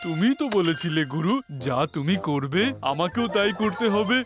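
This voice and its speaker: A man's voice: a little high, animated, normal speed, natural voice. A man voicing a dim-witted, earnest disciple in a children's cartoon, simple and self-important. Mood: eager. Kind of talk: Bengali children's cartoon dubbing. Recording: loud music behind the voice.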